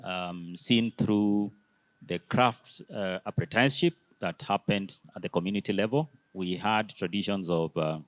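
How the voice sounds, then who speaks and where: A very deep-voiced man from Kenya speaking on an education panel. He talks slowly and haltingly, with many fillers between phrases, and his voice sounds dry and close, with no room echo. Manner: slow, hesitant, many fillers. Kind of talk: conference panel talk.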